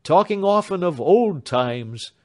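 An earnest young man speaks with fervent tenderness, his voice raised and singing up and down, as a man reads him aloud in a storybook. Each phrase rises high and emotional. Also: expressive, slow pace, a little mellow.

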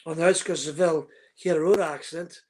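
A man's voice: on the high side, steady tone, fast, a little mellow. A man, a guest on a video call telling a vivid, detailed story from his racing days. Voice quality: husky. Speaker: guest, former racing driver. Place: room at home, indoors. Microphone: webcam on a video call.

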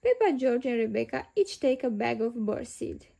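A woman reading picture books aloud in an expressive storyteller's style for young children. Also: on the high side, normal speed, vocal fry.

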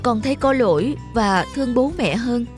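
A woman voicing an earnest, polite young man in an animated moral story. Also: a little high, moderate pace, natural voice. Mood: warm, earnest. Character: earnest young man, spendthrift son learning independence. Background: background music.

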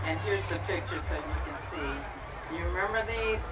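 A woman's voice: on the low side, moderate pace, echoey room, very noisy. A middle-aged woman expressively reading a picture book to children outdoors, asking them questions as she goes. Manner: expressive, asks the listeners questions. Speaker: guest reader. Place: outdoor garden event on a lawn. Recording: distant outdoor mic, loud music and crowd.

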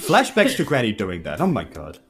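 A man's voice: fairly low pitch, brisk. A man, a player bantering and joking casually during a tabletop game. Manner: animated, joking. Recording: faint music behind the voice. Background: faint background music.

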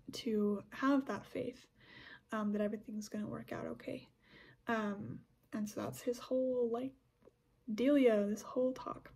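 A young woman with a slightly high, breathy voice talks through her experiences on her podcast. She rambles casually, backtracking and restarting her sentences as she thinks aloud. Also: darkish tone. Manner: chatty, rambling with many restarts and fillers. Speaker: podcast host. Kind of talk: casual scripture discussion podcast.

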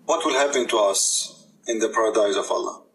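A man's voice: fairly low pitch, brisk, background noise. A man giving mocking religious commentary, sarcastic and repeating phrases in disbelief. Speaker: commentator reacting to a played video.

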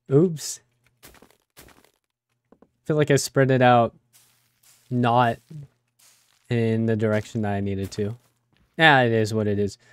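A young man with a raspy voice from a cold, rambling casually with many fillers as he plays a game. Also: medium pitch, slow pace, pauses often, no echo. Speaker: let's play host. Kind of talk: casual gameplay commentary.

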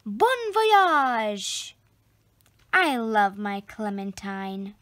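A woman reading a children's picture book aloud expressively, with deliberate phrasing and dramatic pauses. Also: mid-range voice, big pitch swings, fairly bright tone, no room sound. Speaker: storybook reader.

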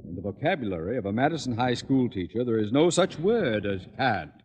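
An actor playing a pompous, scheming middle-aged school principal in old radio comedy, with a blustering, wheedling, theatrical manner. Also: male voice, medium pitch, very animated, brisk, darkish tone, husky, long reverb tail. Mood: manipulative, theatrical. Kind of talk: 1950s radio comedy acting. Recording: noisy old-time radio recording.

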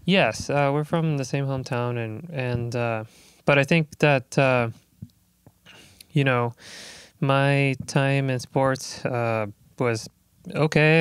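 A man's voice: medium pitch, smooth, dry recording. A man in his thirties from Puerto Rico, a musician speaking as a guest in a recording studio, thoughtful and reflective with frequent fillers. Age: 30s. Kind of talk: casual interview talk.

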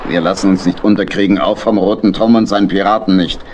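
An actor playing a brave badger sea captain in a children's audio play, earnest and resolute. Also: male voice, sits low, fairly even intonation, fast talker, a little mellow, a little hiss or hum, natural voice.

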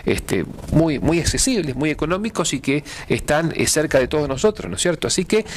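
A middle-aged man with an Argentine accent explains an event in a TV studio, his voice sitting somewhat high. He talks haltingly, stacking fillers and restarts while gesturing as he goes. It is a lapel-mic studio sound. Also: very animated, a little hiss or hum. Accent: Argentine Spanish. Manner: hesitant, many fillers and repeated words. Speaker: pastor and event organizer. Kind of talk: TV studio interview. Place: TV studio with dark curtains.

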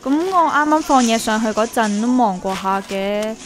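An actress with a Hong Kong Cantonese accent playing a sensible, mildly worrying young woman in a radio drama, gently chiding. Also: fairly high pitch, expressive, moderate pace, a little hiss or hum, natural voice. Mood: mildly worried. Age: young adult. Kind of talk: naturalistic radio drama dialogue and narration.

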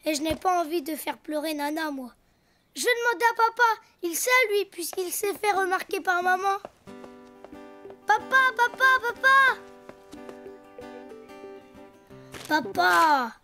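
Little boy donkey for a preschool cartoon: very high voice, earnest, boastful, faint music underneath. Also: expressive, measured pace, sharp tone, full character voice. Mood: pondering, eager. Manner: childlike, exclaiming, earnest. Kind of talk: French preschool cartoon voice acting.

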